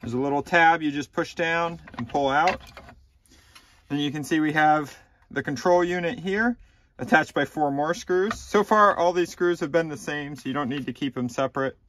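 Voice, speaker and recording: A man in his thirties calmly walking through a car repair step by step, with a few ums, recorded inside a car. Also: on the high side, normal speed, no room sound. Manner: plain, methodical instructions with frequent ums. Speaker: DIY repair channel host. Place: inside a car, small enclosed cabin.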